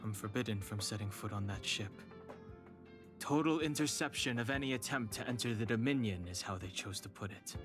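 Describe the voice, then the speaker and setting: A man playing a reserved, brooding young man in an anime-style video game, measured and understated. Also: on the low side, over music, natural voice. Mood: guarded, resigned.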